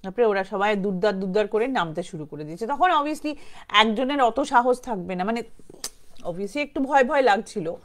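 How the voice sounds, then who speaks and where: A woman's voice: mid-range voice, lively intonation, normal speed. A woman tells a ghost story informally, in a rambling conversational way with English words mixed in. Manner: conversational, rambling, mixes in English words, acts out quotes lightly.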